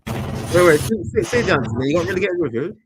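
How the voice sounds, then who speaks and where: A young man in a casual football quiz, asking quick follow-up questions. His pitch moves in lively swings. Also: background noise. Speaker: quiz player. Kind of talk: casual chat.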